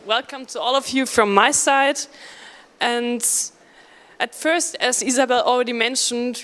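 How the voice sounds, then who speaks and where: A woman co-host introduces the next speaker in a plain, friendly way. Her voice is slightly high. It carries a long reverb from the event hall. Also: fairly bright tone. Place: event pavilion at a conference.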